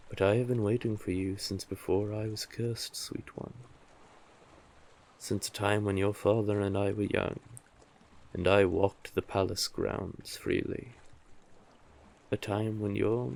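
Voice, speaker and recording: A man acting an older male sea witch, very slow delivery, deep voice, doting, coaxing, intimate fantasy audio drama. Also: darkish tone. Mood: tender, coaxing. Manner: soothing, coaxing, doting, deliberate. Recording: clearly noisy, sea-cave ambience effects.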